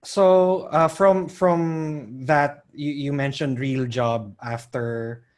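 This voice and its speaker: A man in his forties from the Philippines, hosting a podcast interview in a relaxed, casual way. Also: a little high, slow pace. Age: 40s. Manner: relaxed, laughs often, short backchannels. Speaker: podcast host.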